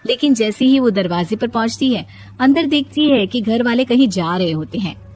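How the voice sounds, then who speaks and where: High-pitched woman narrating a story. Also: expressive, normal speed, darkish tone, faint music behind.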